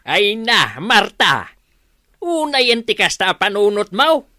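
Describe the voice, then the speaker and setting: A woman voicing a calm, approving village father in an Ilocano comedy radio drama, measured and reassuring. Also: medium pitch, no room sound, clean studio sound, full character voice.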